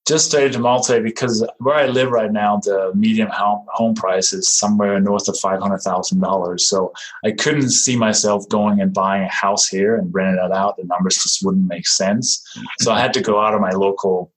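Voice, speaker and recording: A man with a Danish accent talks in a low voice, friendly and unhurried. He stops and restarts often, filling gaps with ums as he explains himself. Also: fairly even intonation, poor audio. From Denmark. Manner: friendly, halting, many fillers and restarts. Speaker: guest, real estate investor. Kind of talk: casual interview talk.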